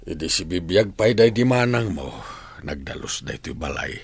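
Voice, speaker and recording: A husky, deep-voiced lonely old father. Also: lively intonation, normal speed, no room sound, heavily acted. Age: older. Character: lonely widowed old father, gentle, longing. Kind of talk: radio drama acting.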